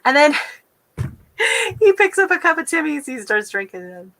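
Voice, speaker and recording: A woman's voice: sits high, very animated, a little slow, fairly bright tone. An American woman from Texas chatting casually on her gardening live stream, rambling with lots of fillers. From Texas, USA. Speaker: gardening channel host.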